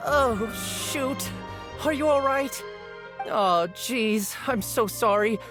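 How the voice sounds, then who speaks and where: A woman's voice: fairly high pitch, takes it slowly. A young woman playing an earnest, apologetic, flustered newspaper seller in an anime game. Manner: apologetic, polite, hesitant. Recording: music behind. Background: game music.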